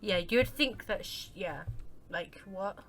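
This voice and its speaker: A woman's voice: mid-range voice, expressive, measured pace, poor audio. A woman streamer giving casual, offhand, slangy reactions as she plays a video game. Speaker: gaming streamer. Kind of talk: casual let's-play commentary. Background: game music and dialogue.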